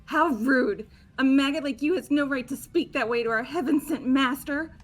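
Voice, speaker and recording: A woman's voice: sits high, normal speed, soft background music, close to an everyday voice. A woman voicing a gloomy, creepy, fanatically devoted young female student, nervous and snippy, in an amateur game dub. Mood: offended. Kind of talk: amateur fully voiced game playthrough.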